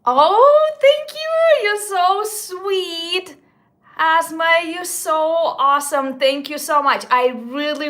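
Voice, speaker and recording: Slow-talking woman gushing about makeup. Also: high voice, a bit bright. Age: 30s. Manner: chatty and gushing, with slips and restarts. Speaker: beauty vlogger. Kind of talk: casual makeup talk to viewers.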